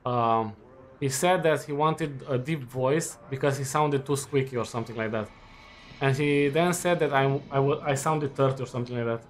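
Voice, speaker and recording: A man's voice: medium pitch, moderate pace, slight background noise. Rambling young man chatting mid-game. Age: 20s. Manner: relaxed, rambling, frequent fillers and restarts. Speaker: student gaming while chatting. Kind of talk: casual chat over gameplay.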